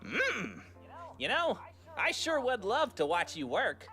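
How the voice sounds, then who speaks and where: An actor voicing an exuberant, playfully sinister male party pony in an animated showman's manner for a fan audio drama. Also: medium pitch, takes it slowly, soft background music, heavily acted. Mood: flirty, eager. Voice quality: bright.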